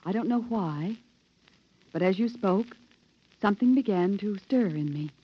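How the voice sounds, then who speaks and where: A woman's voice: mid-range voice, lively intonation, unhurried. Muffled radio-era controlled woman scientist. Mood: conflicted. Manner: formal, crisp lecturing diction. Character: cool, controlled woman genetics professor of a rigid future society. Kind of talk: 1950s radio drama acting. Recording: dull, band-limited 1950s radio recording.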